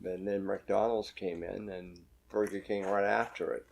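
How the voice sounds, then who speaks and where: An older man with a fairly low voice hosts a relaxed chat, rambling along and restarting his sentences. He sounds like a friendly local show host. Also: measured pace, gravelly. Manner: rambling, chatty, with many restarts. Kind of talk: casual chat.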